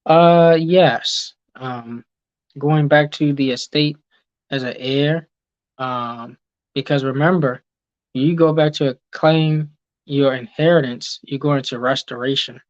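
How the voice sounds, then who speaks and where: A man's voice: medium pitch, steady tone, slow pace, no echo, dull audio. A man hosting a casual teaching talk, reading viewer comments aloud and answering them, with many ums and okays. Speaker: host reading live chat.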